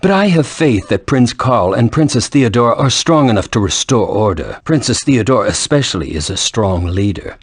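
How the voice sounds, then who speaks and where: An actor voicing a solemn middle-aged leader and father in a video game, speaking with formal, measured authority. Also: medium pitch, very animated, husky, no room sound. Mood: grave, formal.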